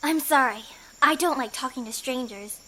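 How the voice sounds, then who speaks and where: An actress plays a dangerous martial-arts bandit woman in an audio drama. Her voice is high and sharply bright, with a haughty, taunting delivery. A faint noise of insects sits behind her. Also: normal speed, low-quality recording. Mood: cool, wary. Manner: taunting, theatrical. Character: haughty, dangerous female bandit and kung fu fighter.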